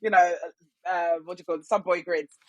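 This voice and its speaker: A woman's voice: lowish voice, fairly even intonation, fairly quick, darkish tone, no room sound, dull audio. A young woman with a West London accent, a guest chatting expressively and laughing often. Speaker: guest, workplace culture consultant. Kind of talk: casual interview chat.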